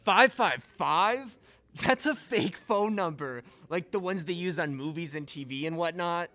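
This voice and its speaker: An actor playing a goofy, laid-back young video store clerk who talks in casual slang in a comic audio drama. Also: male voice, sits high, lively intonation, a little slow, sharp tone, heavily acted. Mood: cheeky. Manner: casual slangy, dude-and-bro talk. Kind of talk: comedic audio drama acting.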